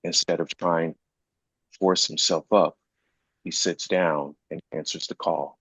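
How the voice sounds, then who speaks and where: Very deep, slow, husky man in echoey room. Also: steady tone, a bit bright. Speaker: actor reading stage directions. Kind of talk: scripted narration at a live table read. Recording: muffled, echoey room pickup.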